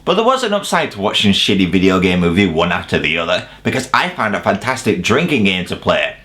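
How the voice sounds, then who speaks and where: A man's voice: low-pitched, sing-song, brisk, a bit bright. A man giving a casual, opinionated scripted video review, emphatic and sweary with self-deprecating humour. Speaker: video reviewer presenting a top ten list.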